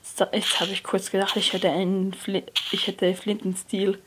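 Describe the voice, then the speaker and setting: A young person, likely a teen, giving hesitant gameplay commentary, often correcting themselves and dropping to a near whisper. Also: female voice, medium pitch, flat delivery, normal speed. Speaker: let's player. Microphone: phone screen recorder mic. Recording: noisy lo-fi phone recording.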